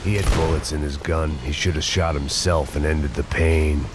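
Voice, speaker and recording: A man playing a bitter, burnt-out middle-aged bodyguard and ex-cop in a video game, narrating in a deadpan, sardonic noir style. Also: a bass voice, normal speed, very noisy. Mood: grim, sardonic. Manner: world-weary, deadpan, wry. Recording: loud music over the voice.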